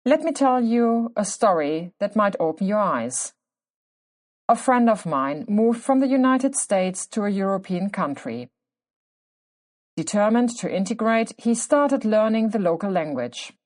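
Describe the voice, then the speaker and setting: A woman's voice: mid-range voice, lively intonation, measured pace, a little mellow. German-accented woman hosting a podcast. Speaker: podcast host. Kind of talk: scripted solo podcast narration.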